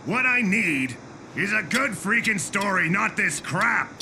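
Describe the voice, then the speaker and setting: An actor playing a greedy, bullying, hot-tempered TV producer in an anime dub, bossy and theatrical. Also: male voice, high-pitched, animated, unhurried, pushed and bright, a little hiss or hum, full character voice. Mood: angry, bullying. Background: music.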